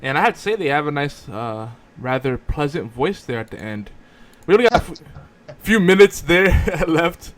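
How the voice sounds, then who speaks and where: A man's voice: a little high, very animated, normal speed. A man hosting a live show with casual, off-the-cuff banter. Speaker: host.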